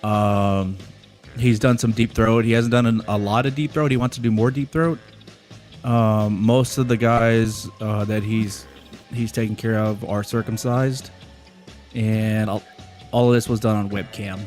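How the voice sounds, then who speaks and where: A man playing it straight as an official-sounding agent on a prank phone call, speaking in a hesitant, deadpan patter. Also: on the low side, unhurried, faint music behind. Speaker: prank caller posing as consumer center agent.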